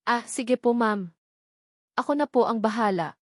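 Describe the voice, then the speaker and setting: A woman's voice: on the high side, a little slow, no room sound, clean studio sound. A Filipino woman narrator voicing a very young, shy housemaid in a romance story, deferential, polite and hesitant. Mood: shy, obliging.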